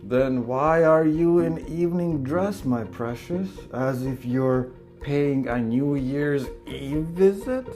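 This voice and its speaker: A man's voice: a little high, slow, darkish tone, soft background music. A man reading a play aloud and voicing an effusive older landowner who fawns over his guest with endearments. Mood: gushing. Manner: gushing, calls everyone darling and angel.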